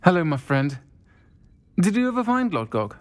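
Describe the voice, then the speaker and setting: A performer playing a kindly, composed woman who runs a pub in a video game, speaking in a measured, caring way. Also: lowish voice, very animated. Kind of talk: video game dialogue acting.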